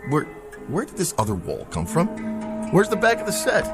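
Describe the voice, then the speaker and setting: An actor playing a vain, petulant TV western star in an audio drama, theatrical, self-pitying and condescending. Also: male voice, high-pitched, huge pitch swings, moderate pace, over music. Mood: bewildered, alarmed.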